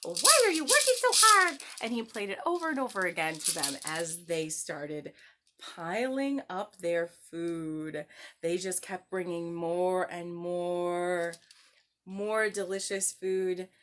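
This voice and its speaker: A woman's voice: on the low side, slow. A woman hosting story time for young children, telling tales expressively in simple words.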